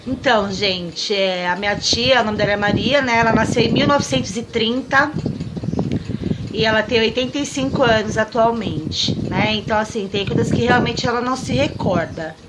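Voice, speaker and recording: A woman asks gentle, prompting questions in a casual interview. Her voice sits slightly high. Clear background noise hums under the recording. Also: moderate pace. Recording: noisy recording.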